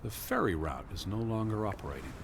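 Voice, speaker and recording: A man's voice: on the low side, lively intonation, fast, creaky, background noise. A man voicing an earnest, humorless 1920s scholar in a video game, speaking in a stiff, precise manner. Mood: earnest, pondering.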